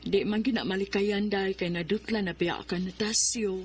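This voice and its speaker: A woman's voice: medium pitch, fairly even intonation, normal speed, a little hiss or hum, close to an everyday voice. A woman playing a chatty, encouraging female best friend in a radio drama. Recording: radio drama with music bed.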